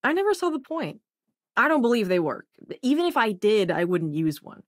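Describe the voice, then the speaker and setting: Cocky young space scientist for a video game, wry n casual, really expressive pitch, dry sound. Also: female voice, moderate pace, no room sound. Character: sassy, self-assured young scientist and smuggler companion in space. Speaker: video game companion character. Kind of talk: acted video game dialogue lines.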